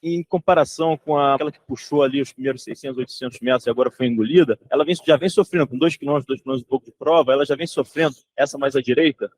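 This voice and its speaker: A man's voice: mid-range voice, rapid-fire, hardly any pauses, a little hiss or hum, natural voice, rough recording. A man voicing an observant race commentator with a Brazilian accent, analysing runners in live sports commentary style. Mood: analytical.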